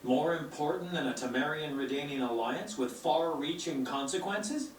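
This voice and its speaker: A man's voice: on the high side, moderate pace, slight background noise, close to an everyday voice. An actor playing a flowery, dramatic male bard in a game cutscene. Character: theatrical chatty male bard. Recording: echoey distant game audio.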